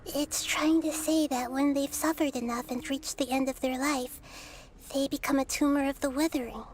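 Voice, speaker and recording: Actress for a gentle girlish goddess, high bright voice, calm lecturer manner. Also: normal speed, lightly acted. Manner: calm, explaining, kind. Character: gentle young-looking goddess, calm scholar. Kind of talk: video game dialogue.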